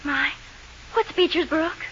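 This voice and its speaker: A woman's voice: sits high, fairly even intonation, slow pace, fairly bright tone. An actress playing an ardent, dreamy young village girl in a breathless, earnest 1940s radio style. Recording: dull, hissy old radio recording.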